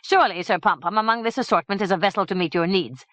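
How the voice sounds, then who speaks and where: A woman's voice: medium pitch, fast, fairly bright tone, dry recording, pitched above the performer's own voice, lightly acted. A woman voicing a blunt, hungry male ogre head in a storybook reading, giving orders. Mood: demanding. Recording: dull dry lo-fi recording.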